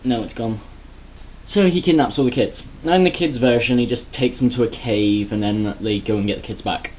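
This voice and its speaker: A man's voice: medium pitch, normal speed, darkish tone, slight background noise, poor audio. A man giving a sarcastic, crude, rambling comedic rant in a casual vlog. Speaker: vlogger.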